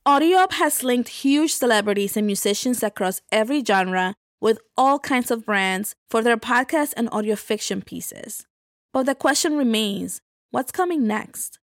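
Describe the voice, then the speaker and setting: A woman's voice: on the high side, lively intonation, moderate pace, no room sound, clean studio sound. A woman hosting a podcast in a scripted narration style. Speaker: podcast host.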